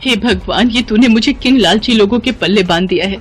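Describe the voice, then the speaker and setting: A woman's voice: medium pitch, fairly quick, faint music behind, lightly acted. A woman acting a meek, mistreated young daughter-in-law in a moral story, with a plaintive, earnest manner. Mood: despairing.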